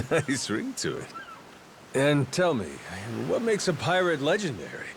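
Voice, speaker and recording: A hearty, playful pirate leader for a video game cutscene. His voice sits high and moves in big swings, yet he takes his time with theatrical relish. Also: huge pitch swings, unhurried, noisy background, full character voice. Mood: warm amusement. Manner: theatrical, jaunty. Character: playful, hearty amnesiac man leading a pirate crew.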